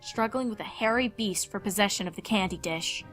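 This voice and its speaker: A woman's voice: medium pitch, brisk, a bit bright, soft background music. A woman reading fan fiction aloud as scripted story narration.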